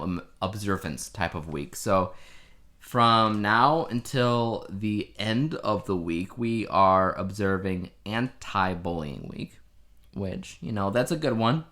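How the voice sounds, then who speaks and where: A man's voice: on the low side, fairly bright tone. A man co-hosting a casual podcast, explaining things in a conversational way. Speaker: podcast co-host. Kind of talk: casual two-person podcast chat.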